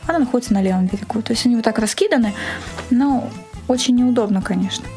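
A woman in a casual interview, recounting an event as its organizer in an animated storytelling way. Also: a little high, fast, soft background music. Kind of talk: casual spoken interview recollection.

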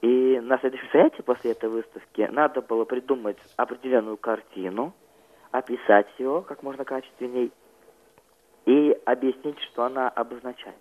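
A teenage male guest answering interview questions in a mid-pitched voice. He speaks hesitantly, searching for words as he recounts things. The audio is narrow and phone-like. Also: fairly even intonation, normal speed. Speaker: student guest on a radio programme.

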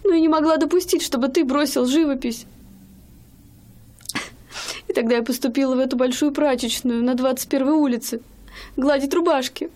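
An actress playing a loving, stubborn young wife in a radio play, chatty and pleading. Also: female voice, sits high, darkish tone, natural voice. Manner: chatty, pleading, sometimes hesitant. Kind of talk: radio drama acting.

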